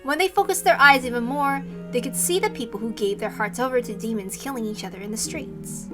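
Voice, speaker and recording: A woman's voice: a little high, fairly quick, music in the background. A woman reading a visual novel aloud as dramatic scripted narration.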